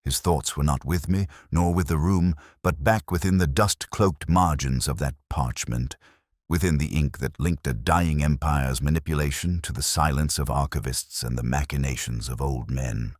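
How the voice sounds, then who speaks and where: Very deep-voiced man narrating a solemn mystery. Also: no room sound, clean studio sound. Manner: measured, literary, solemn storytelling. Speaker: audiobook narrator reading as Dr. Watson. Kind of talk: scripted dramatic story narration.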